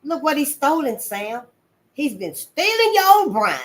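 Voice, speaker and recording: Woman voicing a scowling mistress, high, shrill, accusing, storybook. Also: very animated, fast talker.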